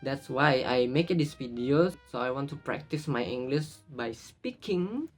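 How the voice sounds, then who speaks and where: Man, Indonesian accent, casual vlog, halting, restarts a lot, medium pitch, faint music behind. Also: lively intonation. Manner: halting, frequent restarts and self-corrections. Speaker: YouTuber. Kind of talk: casual vlog talking to camera.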